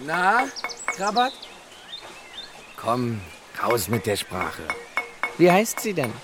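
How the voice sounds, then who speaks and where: Radio play: sly, insinuating journeyman, animated and needling, noisy clinking backdrop. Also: medium pitch, drawn-out words, exaggerated voice. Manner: teasing, insinuating. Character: sly, prying male mill journeyman, needling and falsely helpful. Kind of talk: German radio play acting.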